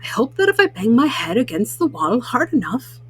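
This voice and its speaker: A woman's voice: a little high, big pitch swings, moderate pace, breathy edge, heavily acted. A woman voicing a well-meaning, fretful male toad from a storybook, earnest and a little fussy.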